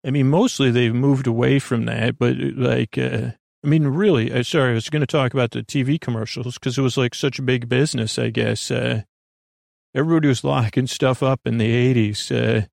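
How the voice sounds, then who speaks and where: A middle-aged American man hosting a sleep podcast in a soothing, meandering monologue, halting, interrupting himself and trailing off. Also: medium pitch, steady tone, vocal fry, darkish tone, no room sound, clean studio sound.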